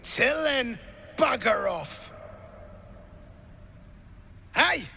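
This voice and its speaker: A man's voice: extremely high pitch, animated, very slow, creaky, sharp tone, a little hiss or hum, exaggerated voice. A man voicing a crude, bullying slaver in a fantasy game, with a coarse, smug, jeering delivery. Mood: contemptuous.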